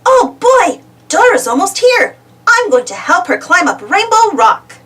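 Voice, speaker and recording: Young monkey sidekick, played by a woman: friendly, excitable storybook voice, bright and high, unhurried pace. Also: recorded from a distance, heavily acted. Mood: eager. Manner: eager, exclamatory. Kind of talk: children's storybook read-aloud.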